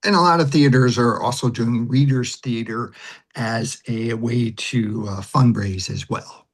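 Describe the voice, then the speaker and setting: A man's voice: mid-range voice, fairly even intonation, a little slow, husky. A man in his late sixties explaining things conversationally as an interview guest on a video call. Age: 60s. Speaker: interview guest, readers theater teacher.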